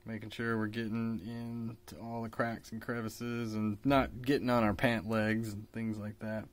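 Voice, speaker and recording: A man in his thirties, a bit raspy from a cold, calmly talking through a miniature painting tutorial with plenty of fillers. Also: fairly low pitch, measured pace. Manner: relaxed, hesitant with fillers, explains while working. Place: hobby shop workbench indoors.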